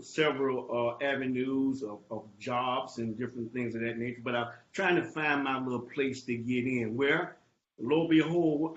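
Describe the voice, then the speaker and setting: A man's voice: medium pitch, a little hiss or hum. Tampa guy, easygoing folksy talker, roomy echo, lo-fi call audio. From Tampa, Florida. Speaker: guest realtor. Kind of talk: interview answers.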